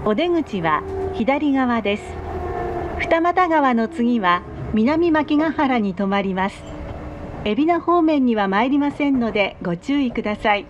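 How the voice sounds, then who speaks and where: Slow, formal woman announcer on a train PA. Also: expressive. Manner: polite, formal, measured. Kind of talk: scripted onboard train announcement. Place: inside a moving train carriage. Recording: dull train PA amid loud carriage noise. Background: train rumble.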